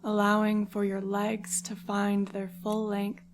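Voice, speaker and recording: Soft, even-toned woman guiding meditation over ambience. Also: mid-range voice, background noise. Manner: soft, breathy phrase endings, soothing unhurried delivery. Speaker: yoga nidra meditation guide. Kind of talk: guided meditation narration.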